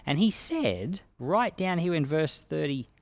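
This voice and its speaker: A man's voice: fairly high pitch, very animated, a little slow, gravelly, no room sound. A man speaking as a pastor, giving informal Bible commentary in a conversational way with plain, everyday words. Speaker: pastor and Bible podcast host.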